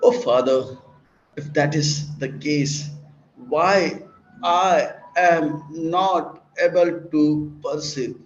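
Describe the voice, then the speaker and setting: A man's voice: a little high, extremely slow, a little hiss or hum. Man voicing a curious young son. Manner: earnest, wondering questions. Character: young boy questioning his father. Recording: roomy, dull lecture recording.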